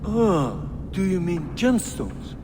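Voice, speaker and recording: A man's voice: high-pitched, very animated, slow, exaggerated voice. An actor playing a humble, frightened working man, a plain-spoken digger pleading in a game cutscene. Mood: weary, informative. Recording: long cavernous reverb, clear background noise.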